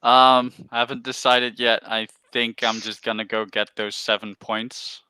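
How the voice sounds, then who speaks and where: A man's voice: mid-range voice, level tone, unhurried, sharp tone, clear, resonant tone, no echo, poor audio. A man chatting casually as he plays a board game. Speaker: game player. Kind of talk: casual chat while playing.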